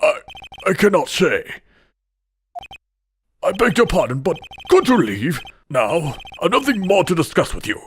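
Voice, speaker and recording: A man's voice: a little high, sing-song, a little slow, pauses often, slight background noise. A man voicing a pompous, blustering older male lawyer in a video game fan dub, theatrical, evasive and old-fashioned in his phrasing. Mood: flustered, evasive.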